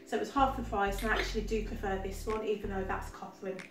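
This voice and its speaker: A woman's voice: mid-range voice, fairly even intonation, quick pace, recorded from a distance, music in the background. A young woman with a Brummie accent chatting in a casual home haul video, enthusiastic and full of little laughs and ums, filmed in her living room. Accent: Birmingham (Brummie). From West Midlands, England. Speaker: vlogger, makeup artist. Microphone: camera mic at a distance. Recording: roomy echo from off-mic.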